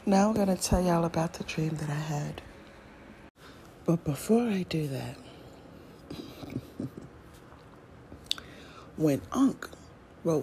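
A woman's voice: fairly low pitch, mellow, a little hiss or hum. A woman hosting a casual solo podcast, talking in a loose, musing way with slang and thinking-aloud restarts. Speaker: podcast host.

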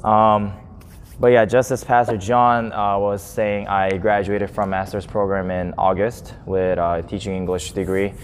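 A man's voice: lowish voice, little pitch movement, unhurried. A man in his twenties, raised in Japan after birth in South Korea, giving a chatty, self-deprecating introduction as a guest preacher. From born in South Korea, grew up in Japan. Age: 20s. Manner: friendly, self-deprecating, laughs often, many fillers. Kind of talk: casual, chatty sermon introduction. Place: church sanctuary. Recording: long church reverb, faint noise.

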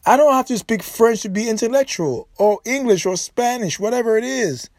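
A man of Haitian origin with a high voice delivers forceful commentary on his own. He hammers his points home, repeats himself and restarts sentences midway. The sound is dry and close, with no room around it. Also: lively intonation, normal speed, dry recording. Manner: emphatic, repetitive, frequent restarts. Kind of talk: impassioned solo commentary.

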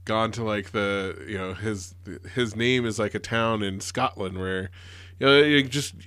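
A man's voice: fairly low pitch, measured pace, bright, forceful tone, clear, resonant tone, no room sound. A man, a teacher, talking earnestly in a rambling way full of fillers. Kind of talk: casual conversation.

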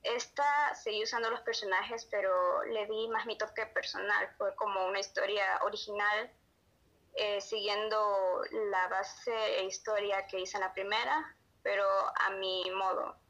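A woman's voice: medium pitch, steady tone, unhurried, a bit bright. A woman with a Honduran accent answering casually as an interview guest who writes as an amateur. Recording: dull lo-fi audio.

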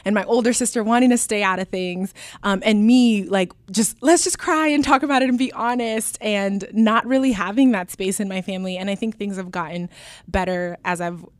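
A woman's voice: mid-range voice, moderate pace, dry recording. A woman in her twenties from Alaska, first language Spanish, telling her story as an interview guest in an earnest way with many fillers and restarts. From Alaska, USA. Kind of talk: reflective personal storytelling.

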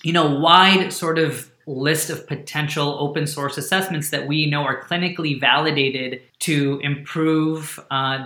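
A man's voice: a little high, sharp tone, roomy sound. A man whose first language is Hindi, a startup founder who explains his work as a guest in an earnest way. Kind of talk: podcast interview.

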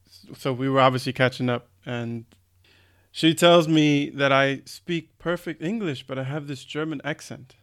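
A man's voice: mid-range voice, normal speed, a bit bright. A man from the United States co-hosting a podcast in casual chat, talking thoughtfully with frequent ums and swearing when annoyed. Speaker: podcast co-host.

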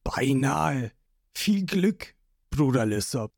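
A man's voice: medium pitch, lively intonation, slow, gravelly, a little mellow, no echo, studio quality, full character voice. A man voicing a nervous young male bounty hunter in a storybook retelling, stammering as he talks.

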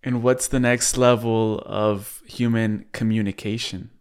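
A man with a fairly low, resonant voice hosts a podcast interview. He speaks conversationally, sounding earnest and appreciative, with a very clean tone. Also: steady tone, measured pace, smooth. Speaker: podcast host.

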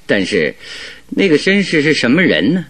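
A clever jovial detective in a radio play, bit husky, really swingy pitch, sure of himself. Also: male voice, very animated, normal speed, fairly bright tone. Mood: confident, explanatory. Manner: chuckling, expansive, leisurely explaining his deductions. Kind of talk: Mandarin radio drama acting.

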